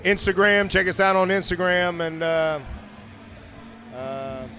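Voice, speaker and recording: A male bass player answering interview questions outdoors in a laid-back, slangy way. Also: slow pace, sharp tone, faint music behind. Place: outdoors at a noisy event.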